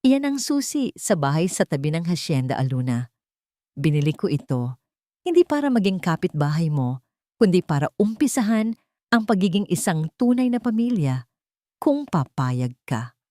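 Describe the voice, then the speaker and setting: A woman voicing an earnest, tender businessman in a gentle, sincere, measured manner for a romance story. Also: on the low side, sing-song, no room sound, studio quality. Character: earnest, tender businessman proposing a family life.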